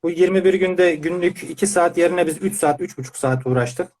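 A man's voice: high voice, fairly quick, a little mellow. A man speaking as a parent, giving a conversational testimonial in an interview.

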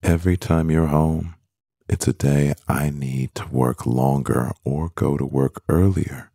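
A man playing a stressed, devoted CEO boyfriend in an intimate ASMR roleplay, murmuring with a husky, gravelly voice and pauses. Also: extremely low pitch, steady tone, dark tone, dry recording, studio quality, exaggerated voice. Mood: weary, tender.

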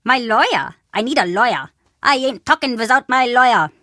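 A woman's voice: on the high side, expressive, normal speed, sharp tone, no echo, studio quality. A woman voicing a mischievous, imaginative, chatty young boy in a video game, with cheeky, dramatic bluster. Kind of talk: video game character dialogue.

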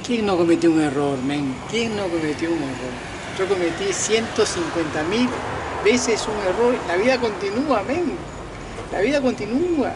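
Fifties guy from peru ranting to camera outside at night, slangy and animated, city traffic humming behind. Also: high voice. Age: 50s. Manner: animated, talks to viewers directly with slangy asides. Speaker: vlogger and self-declared political candidate. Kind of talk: casual rambling monologue to camera. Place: outdoors at night on a balcony over a lit city.

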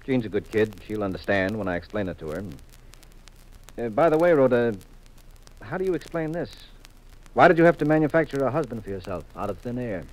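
An actor playing an easygoing, dryly amused detective in a 1950s radio drama. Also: male voice, medium pitch, expressive, fairly quick, darkish tone, close to an everyday voice, rough recording. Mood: breezy, questioning. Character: easygoing, wry male detective, a married man.

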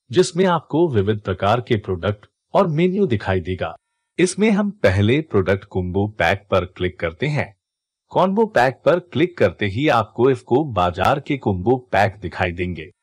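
A man's voice: fairly low pitch, a little mellow, husky, no room sound, studio quality. A man hosting a tutorial channel, walking viewers through each click step by step in a screen-recorded voice-over. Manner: instructive, explains each click.

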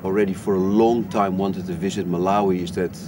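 A man's voice: deep voice, fairly even intonation, fairly quick, background noise. A middle-aged man who grew up in Africa, leading an expedition and speaking in plain, slightly broken English. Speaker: expedition leader. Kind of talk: documentary interview and field talk.

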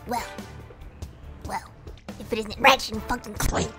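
Mocking anime schoolgirl bully played by a woman, high voice, slow drawling taunts over loud music. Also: steady tone. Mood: taunting, sneering. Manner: taunting, drawn-out. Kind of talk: parody anime fandub acting.

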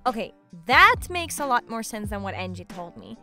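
A woman acting a caring, bubbly spider demon sister in an audio drama, with a reassuring, expressive delivery. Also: mid-range voice, normal speed, soft background music. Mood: gentle concern.

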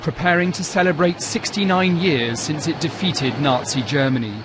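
A man reporting from abroad as a news correspondent, in a measured, formal delivery. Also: a little high, a bit bright, a little hiss or hum.

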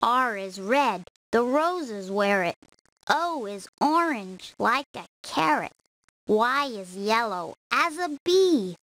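Female reader for children's verse, high-pitched, unhurried and simple in delivery. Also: big pitch swings, a bit bright, poor audio. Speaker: storybook reader. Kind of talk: scripted children's verse reading.

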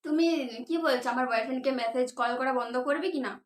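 A woman's voice: sits high, fast talker, reverberant. A woman making a prank phone call, confronting the other person with accusations. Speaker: prank caller, channel host.

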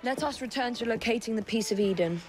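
Game heroine: actress as serious young Victorian Englishwoman, controlled and stern, high register, music underneath. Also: fast, close to an everyday voice. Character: serious, disciplined young female Victorian assassin. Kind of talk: video game cutscene acting.

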